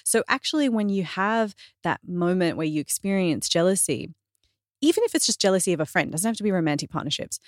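Woman, podcast guest, fitness trainer, lowish pitch, dry close sound, earnest and chatty. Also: a little fast, no echo. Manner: animated and earnest, many "like" fillers, self-corrections. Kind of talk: casual podcast conversation.

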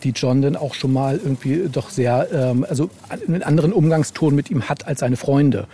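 Guy being interviewed, soft mellow tone w some fry, feels his way through sentences, keeps adding tag questions. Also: mid-range voice, moderate pace, slight background noise. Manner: hesitant, searching delivery with frequent tag questions. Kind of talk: casual interview talk.

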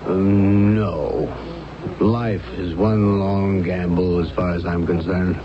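An actor playing a composed, skeptical psychiatrist in a 1970s radio drama, questioning in a dry, measured manner. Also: male voice, low-pitched, fairly even intonation, a crowd in the background. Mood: probing. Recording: muffled, noisy old radio broadcast.

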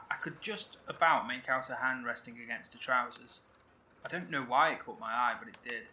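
Bright man survivor actor, roomy. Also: medium pitch, a little hiss or hum, close to an everyday voice. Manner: animated, vivid storytelling, swearing. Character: man survivor describing a strange void. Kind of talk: audio drama acting. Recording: roomy echo, faint ambience.

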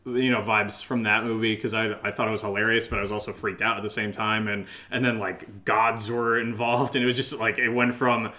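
A man's voice: on the low side, little pitch movement, a little fast, hardly any pauses, bright, forceful tone. A man co-hosting a casual question-and-answer chat, rambling hesitantly through loosely strung thoughts. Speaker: podcast co-host and audio drama writer.

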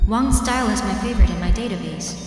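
Woman playing a measured, probing female android. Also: mid-range voice, over music, close to an everyday voice. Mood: reflective. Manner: measured, inquisitive, confronting. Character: probing female android posing as portrait sitter. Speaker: voice actor in an audio drama. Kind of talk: audio drama dialogue.

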